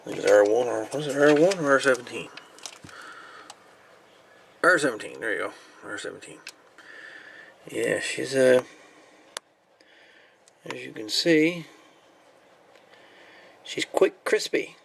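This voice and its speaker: A man presents a piece of gear in a relaxed, hands-on video. He speaks in a medium-pitched voice with folksy, colloquial phrasing, as if chatting to viewers while he works. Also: slow pace, creaky, long pauses, slight background noise. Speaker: video host reviewing and repairing gear. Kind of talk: casual hands-on demonstration talk. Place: indoor workbench.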